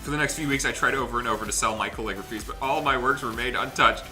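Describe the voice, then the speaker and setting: A man's voice: mid-range voice, quick pace. A man hosting a casual group let's play, reading the game's text aloud and chatting in a jokey way. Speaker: gaming channel host reading a visual novel aloud. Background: game music behind the voice.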